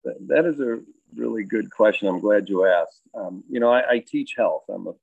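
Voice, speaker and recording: A man who coaches high school baseball and teaches health, answering interview questions in a thoughtful, rambling way with many fillers and restarts. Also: normal speed, creaky, husky, dry recording. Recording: dry, tinny phone-like line.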